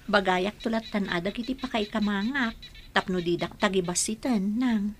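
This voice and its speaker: A woman's voice: medium pitch, normal speed, slight background noise, lightly acted. A woman playing an earnest young woman pleading for the man she loves in an amateur radio drama. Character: young woman in love, defending her choice. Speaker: daughter.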